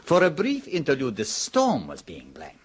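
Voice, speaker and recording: An actor playing Luigi, a suave, worldly host who teases his guests in a smooth, theatrical manner. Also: medium pitch, wildly swooping pitch, normal speed. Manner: smooth, teasing, theatrical with chuckles. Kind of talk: 1970s radio drama acting. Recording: old radio broadcast.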